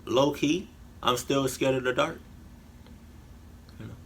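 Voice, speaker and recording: A man giving casual, rambling reaction commentary in colloquial slang, haltingly and often repeating his phrases. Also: mid-range voice, a little hiss or hum. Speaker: reaction video host.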